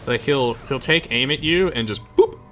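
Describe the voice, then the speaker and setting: Animated, bright-voiced young man commentating gameplay. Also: mid-range voice, normal speed. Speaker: gaming video host. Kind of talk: casual let's play commentary. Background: faint game music.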